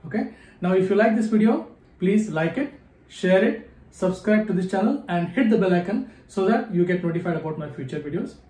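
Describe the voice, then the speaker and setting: A man's voice: high voice, lively intonation, brisk, mellow. A man in his thirties with an Indian English accent, speaking to camera as a channel host and reciting number words one at a time. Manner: counts number words one at a time, with tones that rise and fall. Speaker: memory athlete, channel host. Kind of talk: to-camera video with a slow, deliberate recitation. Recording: echoey room.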